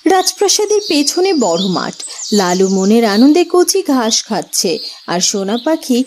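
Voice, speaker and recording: High-pitched woman narrating a fairytale. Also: big pitch swings, moderate pace. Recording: loud music bed behind the voice.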